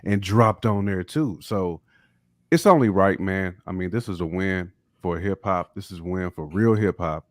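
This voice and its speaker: A man's voice: low voice, moderate pace, dry recording, studio quality. A man in his forties co-hosting a casual hip hop podcast, emphatic and enthusiastic. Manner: enthusiastic, emphatic praise with repeated words. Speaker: podcast co-host.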